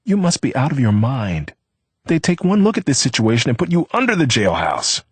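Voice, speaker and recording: A husky-voiced man playing an exasperated young man who coaxes and urges in a storybook reading. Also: medium pitch, wildly swooping pitch, fairly quick, dry recording, pitched above the performer's own voice. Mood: urgent. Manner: urgent, coaxing. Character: exasperated young man trying to keep calm.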